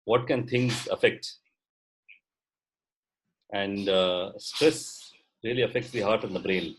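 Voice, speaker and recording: A man's voice: on the low side, slow, a little hiss or hum, rough recording. A man from India leading a coaching session on a video call, encouraging, often saying "absolutely" and "you know". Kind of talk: facilitating a group video call.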